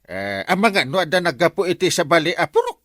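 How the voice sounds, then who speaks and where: A man acting a comically slow-witted village captain in an Ilocano comedy drama, with an Ilocano accent. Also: fairly high pitch, sing-song, a bit bright, no echo, studio quality. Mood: reporting.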